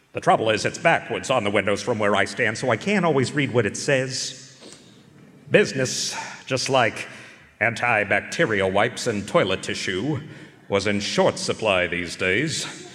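An actor playing a weary, wry private eye in a noir radio drama, narrating and wisecracking in a deadpan, world-weary way. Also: male voice, lowish voice. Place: large performance hall stage. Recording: echoey concert hall, live stage broadcast.

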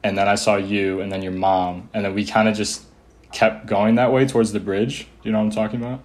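A man's voice: on the low side, level tone, a little fast. A young American man casually recalling events in loose, hesitant phrases. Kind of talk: casual recollection.

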